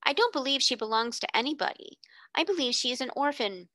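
A woman's voice: on the high side, very animated, normal speed, sharp tone, dry recording, studio quality, heavily acted. A woman voicing a sensible, knowing young girl who speaks decidedly in a storybook reading. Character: sensible older sister, a rich girl.

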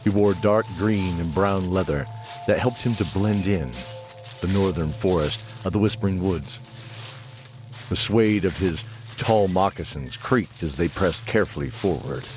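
A man's voice: low-pitched, measured pace, darkish tone. A man narrating a fantasy audio drama in a scripted storytelling style. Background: music.

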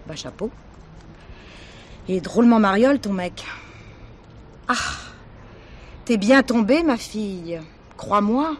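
A woman's voice: mid-range voice, expressive, slow, long pauses, slight background noise. An actress playing a brassy, nosy young working girl in a radio drama, slangy and teasing. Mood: impressed, teasing. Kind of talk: French radio drama acting.

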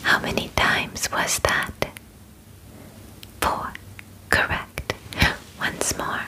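Whispering female alien examiner, played by a woman. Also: rough recording. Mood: calm, clinical. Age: young adult. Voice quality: soft, breathy whisper. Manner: slow, deliberate, formal, reassuring instructions. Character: calm, clinical female alien examiner of abducted human. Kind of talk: ASMR roleplay. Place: bedroom, indoors. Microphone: close sensitive microphone.

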